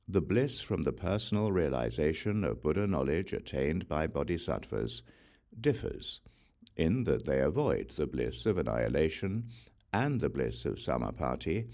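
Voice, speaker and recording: Very deep, gravelly male audiobook narrator. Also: darkish tone. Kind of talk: scripted reading of a Buddhist sutra.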